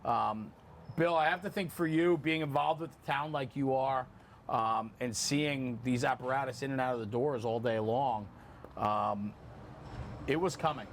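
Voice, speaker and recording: A man's voice: medium pitch, a little slow. A man with a mid-range voice hosts a round-table podcast interview. He talks conversationally, with earnest emphasis on his points. There is noticeable noise behind him. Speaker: podcast host. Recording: clear background room noise.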